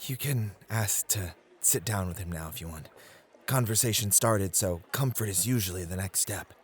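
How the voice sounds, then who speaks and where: A man playing a flirty, gentlemanly club host who teases and gently coaches in an ASMR roleplay, with courteous, theatrical phrasing. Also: low voice, normal speed, lightly acted. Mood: flirty, guiding.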